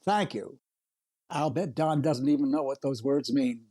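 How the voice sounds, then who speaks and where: A man's voice: mid-range voice, a little slow, warm tone, lightly acted. A man playing a polite, eager host of a 1930s radio variety show. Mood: polite, upbeat. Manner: courteous, upbeat.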